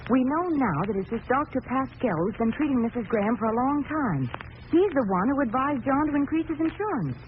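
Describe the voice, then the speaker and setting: An actress playing a spirited amateur sleuth who poses as a nervous housewife, in a theatrical old radio-drama style. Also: female voice, sits high, expressive, brisk. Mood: eager, playful. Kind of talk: 1940s radio drama acting. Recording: noisy narrow-band vintage radio recording.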